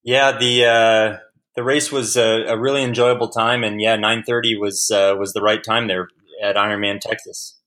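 A man's voice: fairly low pitch, flat delivery, normal speed, pushed and bright, poor audio. A man being interviewed as a guest on a podcast, answering in a friendly, easygoing way with frequent restarts and fillers. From United States.

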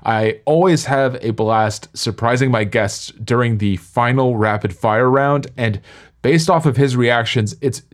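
A man's voice: medium pitch, measured pace. A man hosting a sports podcast in a semi-scripted, presenting style.

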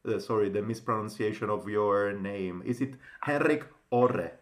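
A man's voice: fairly low pitch, moderate pace. A man hosting a casual podcast in non-native English, with an enthusiastic, rambling, informal way of talking. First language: not English. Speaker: podcast host. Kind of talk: casual podcast intro and interview.

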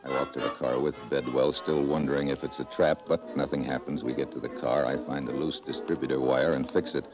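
An actor playing a tough, wry American secret agent in a 1950s radio adventure, with clipped hard-boiled delivery. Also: male voice, a bass voice, steady tone, brisk, rough recording. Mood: tense narration. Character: tough, quick-thinking American male secret agent hero. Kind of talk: 1950s radio adventure drama acting and narration. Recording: old radio broadcast with music.

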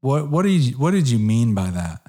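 A man in his fifties hosts an interview in a relaxed, conversational way. His voice sits at a middle pitch. He restarts phrases and checks in with "right?". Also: normal speed. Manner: conversational, restarts, frequent "you know" and "right?". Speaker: interview host. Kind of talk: casual interview.

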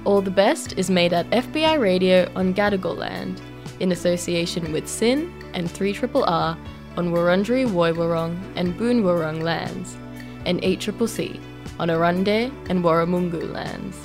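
A woman's voice: lowish voice, moderate pace. A woman presenting radio, reading scripted closing credits. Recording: music bed under voice. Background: theme music.

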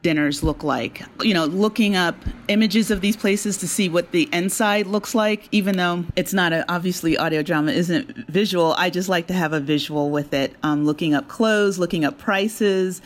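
A woman's voice: on the low side, moderate pace, hardly any pauses, a little hiss or hum. Thoughtful woman explaining in an interview. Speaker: guest, audio drama writer and creator. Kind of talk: conversational interview answers.

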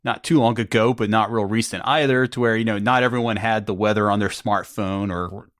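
A man hosting a podcast interview, asking measured, thoughtful questions. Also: fairly low pitch, fairly even intonation, a bit bright, no room sound, studio quality. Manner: measured, thoughtful, with small restarts. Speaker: podcast host.

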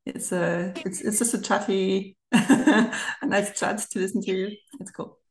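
A woman's voice: mid-range voice, fairly even intonation, a little slow. Chatty German-accented woman in a roomy space. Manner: chatty, giggles often as she talks. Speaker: podcast guest. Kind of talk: casual chat. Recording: roomy, echoey remote recording.

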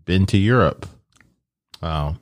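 A man's voice: low-pitched, expressive, slow. A man in casual chat, teasing and asking nosy questions.

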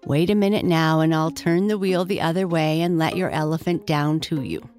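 A woman's voice: low voice, fairly even intonation, normal speed, darkish tone, faint music behind, natural voice. A woman voicing a friendly, practical barn worker in a children's storybook, a grown man calling out reassuringly.

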